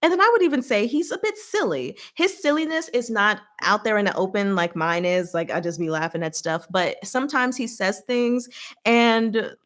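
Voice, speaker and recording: A woman hosting a casual live recap, talking in an expressive, playful, giggly way with emphatic asides. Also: medium pitch, moderate pace. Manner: giggly, expressive, laughs often while talking. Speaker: host of a live recap and discussion. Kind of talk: casual livestream chat recapping a story.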